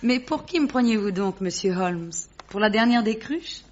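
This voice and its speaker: An actress playing a poised, witty, teasing high-society lady in a French radio drama, with a playful, mocking, theatrical delivery. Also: lively intonation, moderate pace, darkish tone, lightly acted. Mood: amused, triumphant. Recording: dull lo-fi radio recording.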